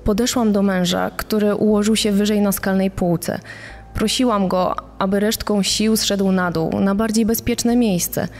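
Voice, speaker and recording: A woman's voice: mid-range voice, steady tone, unhurried, darkish tone, faint music behind, natural voice. An actress playing a bereaved wife and mother, grave and distressed, in a true-crime podcast dramatization. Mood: grieving, grave. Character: bereaved wife and mother recounting a mountain tragedy.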